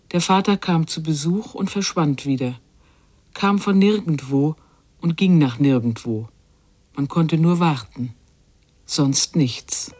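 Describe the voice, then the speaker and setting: Quiet sombre woman narrator for a radio play, slow measured lines, very dry sound. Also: sits low, no echo. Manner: sparse, measured, repeating refrain. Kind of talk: literary radio drama narration.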